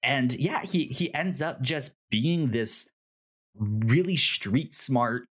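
Animated man with a halting, stuttering delivery. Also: medium pitch. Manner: halting, stuttering on initial sounds. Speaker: podcast co-host. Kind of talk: casual TV discussion.

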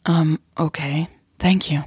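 A woman's voice: low-pitched, fairly even intonation, slow pace, darkish tone, slightly rough, no room sound, studio quality, exaggerated voice. A woman voicing an anxious but determined young woman in love, speaking hesitantly in an audio drama. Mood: guarded. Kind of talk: audio drama dialogue acted by narrator.

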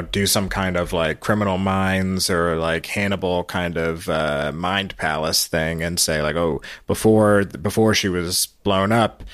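A man with a low voice hosts a recap podcast in casual, rambling talk. He feels his way through sentences, and the sound is dry and close. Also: fairly bright tone, dry recording. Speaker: podcast host.

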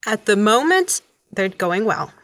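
A woman's voice: mid-range voice, very animated, unhurried, fairly bright tone, no room sound. A woman co-hosting a podcast, chatting casually on a close mic. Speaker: podcast co-host. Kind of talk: casual chat.

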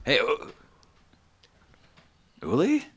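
A man's voice: fairly high pitch, huge pitch swings, very slow, a bit bright, breathy edge, pitched above the performer's own voice, full character voice. A man voicing an earnest, folksy farmer who is a player's brother, talking eagerly with some stammering. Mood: friendly. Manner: eager, stammering, good-natured.

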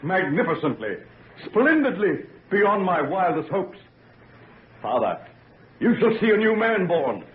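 An actor in an old-time radio drama playing an obsessed young scientist, shaken yet proud, halting and repeating his phrases theatrically. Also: sits high, sing-song. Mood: exultant, grandiose. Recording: tinny, noisy vintage radio recording.

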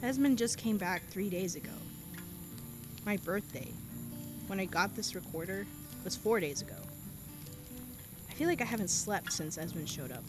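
A woman's voice: mid-range voice, animated, moderate pace, long pauses, over music, natural voice. An actress playing a reflective seventeen-year-old girl, talking into a tape recorder in an audio drama. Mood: wistful. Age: teens. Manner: wistful, introspective diary-style monologue. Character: reflective teenage girl on the run. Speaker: narrator and lead character.

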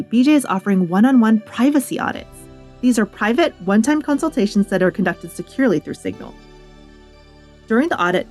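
A woman's voice: medium pitch, darkish tone. A woman announcer delivering a scripted promotional read. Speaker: announcer and presenter. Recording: music behind the voice.

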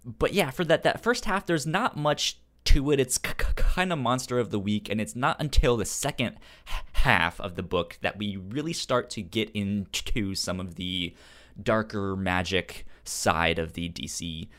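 A man chatting casually about comics as a podcast host, with a stutter that repeats sounds and syllables in a halting, animated delivery. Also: on the low side. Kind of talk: casual comic book discussion.

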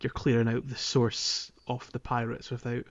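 A man's voice: mid-range voice, completely flat delivery, normal speed, muffled recording. A man co-hosting a podcast, casually talking through a video game in a loose, hedging, informal way. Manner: hedging, informal explaining with kind of and like. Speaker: podcast co-host.

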